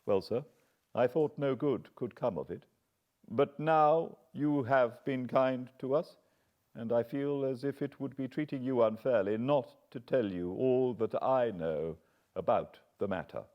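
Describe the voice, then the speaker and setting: A man's voice: mid-range voice, a little slow, voice pushed up, close to an everyday voice. A British man voicing a deferential, earnest middle-aged butler who speaks respectfully and apologetically; the recording sounds slightly dull.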